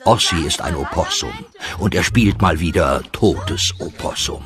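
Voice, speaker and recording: A man's voice: low voice, expressive, measured pace. A man narrating a scripted children's audio story, speaking directly to the listeners. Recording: music under the voice.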